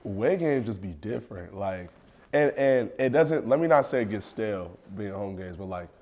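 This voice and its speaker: A man's voice: on the low side, expressive, normal speed, darkish tone. A young man, a college football player, talking in casual chat, hesitant and often repeating and restarting his phrases. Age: young adult.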